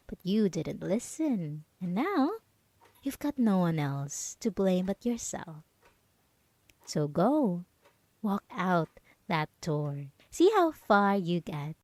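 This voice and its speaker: A woman playing a smug, possessive, manipulative yandere roommate in an ASMR roleplay, taunting deliberately and at times slipping into a whisper. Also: lowish voice, vocal fry, darkish tone, no echo, full character voice. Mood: cold, bitter. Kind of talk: ASMR roleplay monologue, acted.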